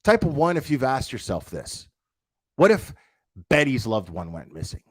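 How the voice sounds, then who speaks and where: A man's voice: mid-range voice, wildly swooping pitch, unhurried, breathy edge, dry recording. A man delivering a livestreamed opinion rant in a blunt, profane, confrontational way, repeating himself for emphasis and asking rhetorical questions. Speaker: online commentator, livestreamer.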